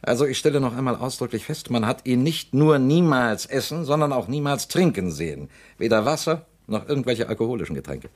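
A man's voice: medium pitch, lively intonation, a little fast, few pauses, lightly acted. An actor playing a scheming politician who presses his case with insinuation and veiled threats in a radio play. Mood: insistent. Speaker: politician confronting a company director. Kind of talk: radio drama acting.

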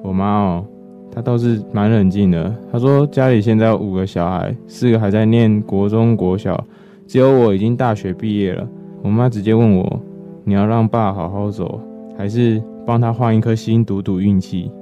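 A man's voice: fairly low pitch, fairly even intonation, moderate pace, dark tone, close to an everyday voice. An actor playing a weary man in his twenties who shoulders his family's burdens, confiding and reflective, in a radio drama. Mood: grave, resigned. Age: 20s. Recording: slightly muffled, guitar music behind.

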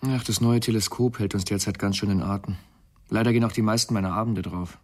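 An actor playing a guarded, earnest male astronomer in a German radio drama, husky and brisk with a dry, controlled manner. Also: fairly low pitch, steady tone, darkish tone, lightly acted. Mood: harried.

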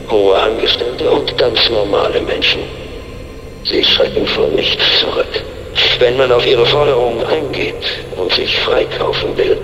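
An actor playing a mysterious, commanding masked informant in an audio drama, speaking in a deliberate, stern and formal way. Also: male voice, lowish voice, pushed and bright. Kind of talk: German audio drama acting. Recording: echoey reverberant space, some noise.

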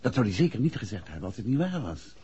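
An actor playing a composed, inquisitive gentleman detective in a Dutch radio play from the 1960s, with a Netherlands accent and measured, courteous speech. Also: on the low side, sing-song, a little hiss or hum. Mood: pensive. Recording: dull, muffled 1960s radio recording.

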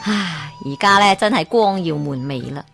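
A woman with a Hong Kong Cantonese accent tells a folk tale in a lively way. She often turns to the listener with rhetorical questions. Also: on the low side, moderate pace, vocal fry, faint music behind. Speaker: storyteller.

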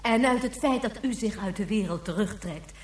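An actress playing an earnest woman who argues in a measured, insistent way in a science fiction radio drama. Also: medium pitch, a little mellow, natural voice. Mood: firm. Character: earnest, probing woman defending endless life extension. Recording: echoey, distant, faint hum.

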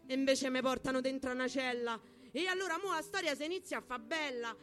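Spoken-word performer, Roman accent, theatrical and emphatic, bright high delivery of rhymed verse. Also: quick pace. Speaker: poetry performer. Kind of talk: dramatic spoken-word poetry recital over music. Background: guitar music.

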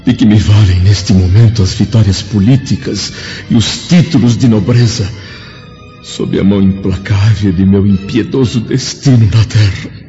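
An actor playing a proud, grieving Roman senator in a solemn, declamatory radio drama style. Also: male voice, fairly low pitch, moderate pace, mellow, lightly acted. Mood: anguished, pleading. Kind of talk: theatrical radio drama acting. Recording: dull lo-fi radio drama, music behind.

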